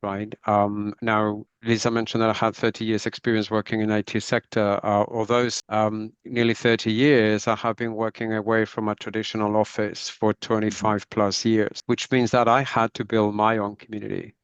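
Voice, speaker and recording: A man's voice: on the low side, flat delivery, normal speed, hardly any pauses, clear, resonant tone, no room sound. A middle-aged man from northwest Spain with a Spanish accent, a podcast guest who speaks reflectively and often closes his points with "right?". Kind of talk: conversational interview.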